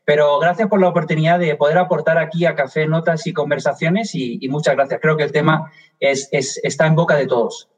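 A man's voice: fairly high pitch, fairly even intonation, a little fast. A man from Spain with a Spanish accent, answering interview questions over a video call in a friendly, joking way. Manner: friendly, joking, then explanatory. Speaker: guest expert.

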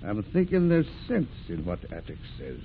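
A man acting a commanding, deliberate ship's skipper with authoritative, dramatic delivery in a 1940s radio drama. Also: lowish voice, wildly swooping pitch. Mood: grave, rousing. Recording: muffled, noisy vintage radio drama recording.

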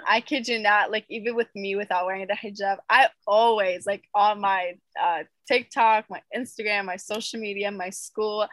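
A woman's voice: mid-range voice, fairly even intonation, normal speed, hardly any pauses, fairly bright tone, no room sound. A young woman co-hosting a casual chat, talking with lots of fillers and laughing easily. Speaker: podcast co-host.

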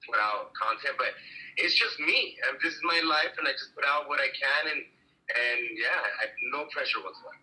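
A man's voice: medium pitch, lively intonation, moderate pace, sharp tone, rough recording. A man in his early forties, an actor from Miami, talking earnestly and upbeat in a casual interview, with many fillers and restarts. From Miami, Florida. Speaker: actor guest.

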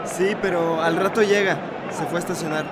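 A high-voiced young man an actor as a protective brother in a radio play. Very loud noise surrounds him. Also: steady tone, a little fast, over a noisy crowd, close to an everyday voice. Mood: casual, relieved. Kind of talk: radio drama acting. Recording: radio drama with loud street effects.

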